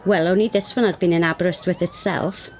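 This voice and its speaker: A woman's voice: on the low side, fairly even intonation, fairly quick, close to an everyday voice. A woman playing a matter-of-fact police sergeant in a radio play, chatty and dry. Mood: dry, informative. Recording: siren and vehicle noise behind.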